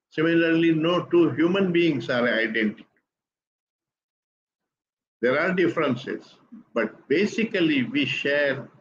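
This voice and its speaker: An older man speaks English with an Indian accent, calm and deliberate in long explanatory sentences. The stream sounds a little dull and muffled. A faint hiss sits underneath. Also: mid-range voice. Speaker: guest speaker on spiritual teachings. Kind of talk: measured explanatory talk.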